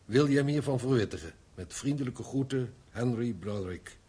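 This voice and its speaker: An actor playing a concerned, encouraging friend in a radio play, speaking in an earnest, urging way. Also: male voice, medium pitch, lively intonation, moderate pace, dark tone, breathy edge.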